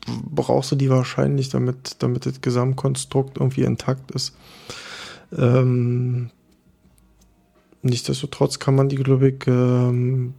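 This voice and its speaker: A man speaks in a low, gravelly, mellow voice. He keeps his pitch fairly flat and steady. He answers thoughtfully, hesitating often and restarting his phrases. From Berlin, Germany. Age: 30s. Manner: hesitant, many fillers and restarts. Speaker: bar co-owner interviewee. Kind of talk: reflective interview answers.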